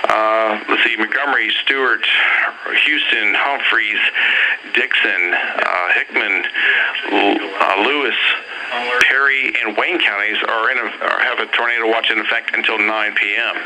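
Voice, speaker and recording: A man announcing live severe weather bulletins on the radio in a halting, matter-of-fact way, pausing through lists of places. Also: fairly low pitch, steady tone. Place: weather service office, heard over radio. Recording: very noisy weather radio broadcast.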